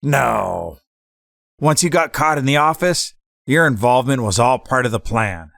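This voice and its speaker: Man playing a cool, gravelly gangster boss. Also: medium pitch, lively intonation, unhurried, a bit bright, dry recording, clean studio sound. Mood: cool, assured. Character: cool, scheming gangster taking over as boss. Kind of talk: hardboiled 1940s gangster audio drama acting.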